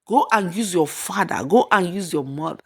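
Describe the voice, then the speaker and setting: A woman's voice: lowish voice, animated, moderate pace, slightly rough. Stern older mother-in-law for a folktale, woman performer, fiercely protective of her son, emphatic and scolding. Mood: angry scolding.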